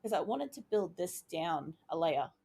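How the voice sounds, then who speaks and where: Gravelly-voiced woman thinking aloud. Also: lowish voice, unhurried, a little hiss or hum. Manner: chatty, thinking aloud, easily excited. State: voice going croaky. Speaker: gaming YouTuber. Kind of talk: casual let's-play commentary.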